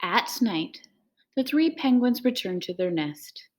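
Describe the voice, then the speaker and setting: A woman's voice: a little high, sing-song, slow. A woman on a school staff reading a children's picture book aloud. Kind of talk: children's storybook read-aloud.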